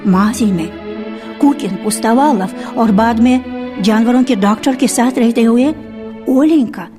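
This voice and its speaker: A woman narrating a literary story for radio in a measured storytelling manner. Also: on the high side. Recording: reverberant archival radio recording with music.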